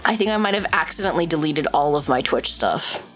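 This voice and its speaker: A young woman streaming casually, reading stories aloud and chatting with viewers, animated and quick to exclaim and swear. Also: low voice. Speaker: VTuber streamer. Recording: faint music behind.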